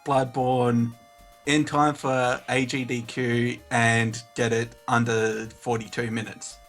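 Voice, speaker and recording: A man's voice: medium pitch, flat delivery, takes it slowly, fairly bright tone. Casual male caller, faint music behind. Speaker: call-in guest. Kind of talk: casual chat.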